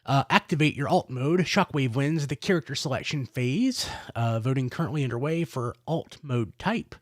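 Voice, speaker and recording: A man's voice: medium pitch, normal speed, dry recording, studio quality. A man hosting a casual toy podcast while sick, stuffy and a little congested, rambling in a chatty way. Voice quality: stuffy nose. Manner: lively, rambling, self-deprecating. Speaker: podcast host. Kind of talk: casual roundtable chat.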